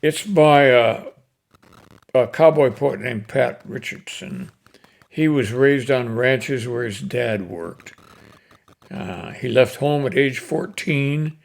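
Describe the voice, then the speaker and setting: An older man with a folksy, unhurried manner, hosting and reciting cowboy poetry in short, paused phrases. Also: mid-range voice, vocal fry. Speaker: host reading cowboy poetry. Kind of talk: casual introduction and expressive poem recitation.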